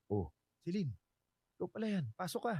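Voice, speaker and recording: A man's voice: high voice, big pitch swings, moderate pace, darkish tone, husky, heavily acted. A man with a Filipino accent playing a devoted suitor in love in a romantic radio drama, earnest and emotive. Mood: friendly, casual.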